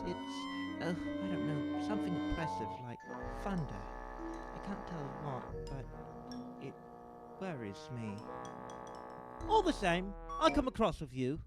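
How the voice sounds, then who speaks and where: A performer acts out an anxious young male rabbit in a cartoon dub. The delivery is fretful and dramatic, with wide swings in pitch, over prominent music. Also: huge pitch swings, a little slow. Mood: uneasy. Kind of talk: animated comic dub of a novel. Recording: music behind the voice.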